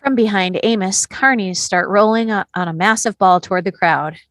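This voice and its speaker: A woman's voice: mid-range voice, normal speed, fairly bright tone, no echo, studio quality, soft background music. A woman reading stage directions as the narrator of a screenplay.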